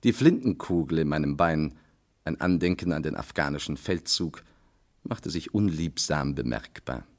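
A detective's companion recounting old cases in a vintage radio play. His voice is very low, with a lively rise and fall, and his telling is measured and literary. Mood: reflective. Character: Doctor Watson, male war veteran narrating his memoirs. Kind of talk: 1960s radio drama storytelling.

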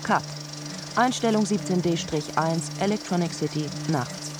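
An actress playing a brisk female film director narrating shots in a German radio play. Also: fairly low pitch, background noise, lightly acted. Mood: brisk, commanding.

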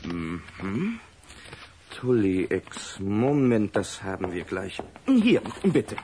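An actor playing a friendly, amused pharmacist in a radio play, helpful and chatty. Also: male voice, on the low side, very animated, drawn-out words, mellow, a little hiss or hum, exaggerated voice. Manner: helpful, chatty, muttering as he works.

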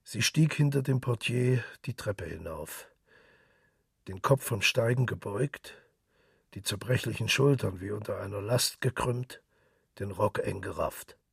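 A man with a very low, gravelly voice narrates a literary radio drama. His delivery is hushed and intimate, often near a whisper. The sound is dry and a little away from the microphone. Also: unhurried, no room sound, recorded from a distance.

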